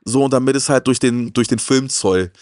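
A middle-aged man explaining things in casual chat, haltingly and with emphatic repeats, into a handheld microphone. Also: medium pitch, darkish tone, no room sound, studio quality. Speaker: retro TV show presenter. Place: room lined with media shelves, on a couch. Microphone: handheld microphone, close.

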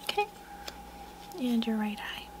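A young woman speaking softly, often in a whisper, in a gentle, unhurried ASMR personal-attention roleplay, murmuring close to the listener. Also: fairly high pitch, sing-song, background noise. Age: young adult. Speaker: ASMR artist roleplaying a portrait painter.

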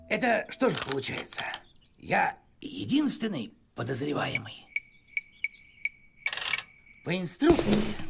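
A man's voice: high voice, gravelly, heavy background noise, full character voice. A man voicing a pompous, fussy older village postman in a cartoon, officious and theatrical in his delivery. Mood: indignant. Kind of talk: animated cartoon acting.

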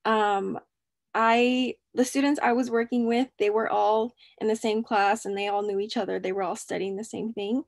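Brief: native Spanish-speaking woman, slightly high, gravelly voice, dry sound, casual video call talk. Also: steady tone, normal speed, no room sound. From United States. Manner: casual, friendly, "um" fillers. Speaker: student panelist. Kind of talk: video call panel answer.

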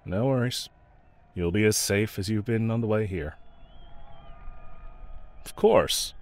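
A man's voice: on the low side, takes it slowly, a little mellow. A man playing a snarky, pragmatic yet protective mercenary escort in a fantasy audio roleplay, talking to the listener in a wry, teasing, laid-back way. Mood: reassuring.

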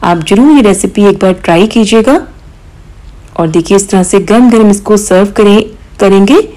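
A woman hosting a cooking channel, calmly explaining each step of a recipe in voice-over, with occasional small hesitations. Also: medium pitch, moderate pace, poor audio. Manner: calm step-by-step instruction with mild hesitations.

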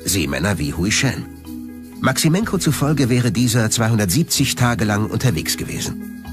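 Male documentary narrator, scripted read with lively pitch movement, music underneath. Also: moderate pace. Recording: music behind the voice. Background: background music.

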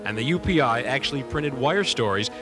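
A man's voice: medium pitch, animated, fairly quick, music in the background. A man reading scripted documentary narration in the role of narrator.

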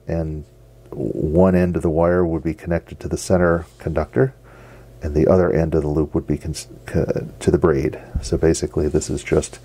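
A man giving a casual hands-on demonstration, explaining in a halting way as a hobbyist video host. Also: sits low, warm tone. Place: indoors at a wooden desk. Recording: faint hum and background noise.

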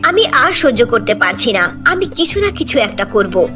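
A woman's voice: a little high, big pitch swings, normal speed. A woman voicing a jealous, scheming village aunt with a dramatic, complaining manner in a cartoon. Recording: music behind the voice.

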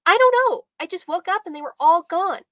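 A woman's voice: very high voice, animated, a little fast, fairly bright tone, no echo, lo-fi sound, voice pushed up. A woman voicing a small, ragged, earnest boy in a storybook reading, with a plaintive childlike manner.

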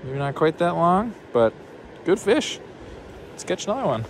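A man casually vlogging while fishing outdoors on a rocky riverbank, upbeat and excitable, recorded on a body-worn camera. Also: a little high, moderate pace. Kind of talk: casual outdoor vlog narration. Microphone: body-worn action camera. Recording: noisy outdoor camera audio. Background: passing train, wind and outdoor noise.

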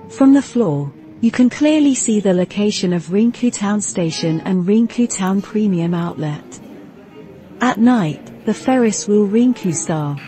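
Mellow woman narrating a hotel tour. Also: mid-range voice, husky. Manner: even, informative reading tone. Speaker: travel vlogger. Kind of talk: scripted travel narration.

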